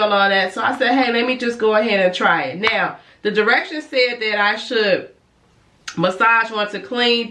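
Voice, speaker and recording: A woman's voice: medium pitch, pushed and bright. A woman who is a licensed cosmetologist, chatting casually in a rambling, self-correcting way while reviewing skincare, a little congested from a cold. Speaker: licensed cosmetologist, beauty vlogger. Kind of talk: casual product review and demonstration. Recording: echoey room, mic at a distance.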